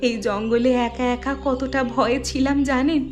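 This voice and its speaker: A woman voicing a worried, high-voiced poor village girl. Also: steady tone, moderate pace, faint music behind, lightly acted. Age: young adult. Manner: pleading, worried. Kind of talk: cartoon voice acting.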